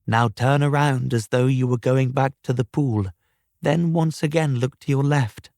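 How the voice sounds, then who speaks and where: Man as female spirit, storybook ghost, raised pitch, calm, formal, cryptic, guiding, dry sound. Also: measured pace, no echo, lightly acted. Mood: instructing. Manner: serene, formal, cryptic instruction.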